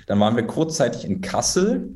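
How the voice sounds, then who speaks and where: Relaxed Berlin-born drummer in his thirties. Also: mid-range voice, brisk. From Berlin, Germany. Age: 30s. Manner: relaxed storyteller, hedging, self-deprecating laughs. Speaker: drummer, podcast guest. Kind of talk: casual interview chat.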